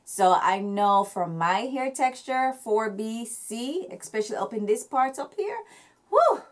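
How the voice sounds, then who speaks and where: A woman's voice: on the high side, big pitch swings, unhurried. A young woman with a Jamaican accent, chatting casually to camera about her hair care, with lots of fillers and restarts. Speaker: hair vlogger.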